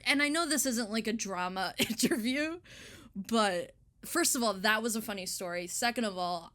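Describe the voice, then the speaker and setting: A young woman in a casual interview chat, speaking in an animated, slangy way and swearing freely. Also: mid-range voice. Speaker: interviewee artist.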